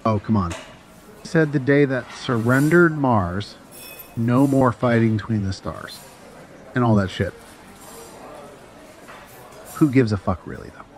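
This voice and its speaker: A man's voice: mid-range voice, animated, unhurried, pauses often, a little hiss or hum, natural voice. A man playing a jaded, dismissive spacer chatting bluntly and offhand in an audio drama. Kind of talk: casual dialogue in an audio drama.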